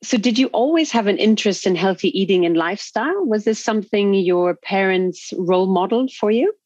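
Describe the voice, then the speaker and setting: A woman hosts a podcast and opens with an eager scripted introduction of her guest. She then moves on to welcoming, upbeat questions. Her pitch sits in a plain middle range. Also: animated, a little fast, a little mellow, dull audio. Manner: enthusiastic, welcoming. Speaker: podcast host.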